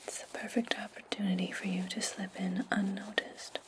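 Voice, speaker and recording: A woman playing a calm, commanding, sly witch in a whispered ASMR fantasy roleplay, instructing her servant slowly and deliberately. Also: mid-range voice, natural voice. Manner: slow, deliberate, hushed instruction.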